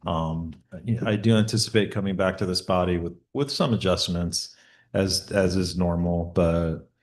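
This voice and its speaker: Man, deep voice, hesitant public-meeting talk, a bit off mic. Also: moderate pace. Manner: hesitant, many fillers and restarts. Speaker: mayor presenting a budget. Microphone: table mic at some distance.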